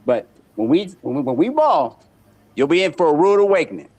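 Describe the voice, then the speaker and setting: A man's voice: a little high, big pitch swings, brisk, darkish tone, slightly rough. A man in his thirties trash-talking in casual banter over a remote call, boastful, and he repeats his words a lot. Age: 30s.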